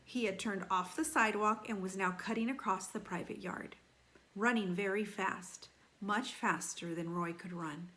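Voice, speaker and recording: Female storyteller for a kids' read-aloud: bright, mid-range voice, expressive and teacherly, leaning on key phrases. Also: medium pitch. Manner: animated storytelling with deliberate emphasis and dramatic slowing. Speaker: teacher reading aloud to children.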